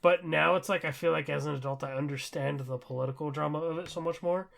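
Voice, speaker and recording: Gravelly, higher-voiced man chatting casually. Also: fairly even intonation, fast talker, a bit bright. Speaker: podcast co-host. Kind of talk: casual chat about shows and anime.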